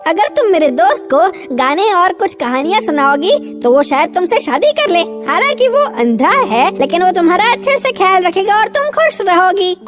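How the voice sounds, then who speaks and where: A woman voicing a kindly but bossy house mouse in a children's animated fairy tale, speaking in a hospitable, matter-of-fact way. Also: extremely high pitch. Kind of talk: children's animated story dub.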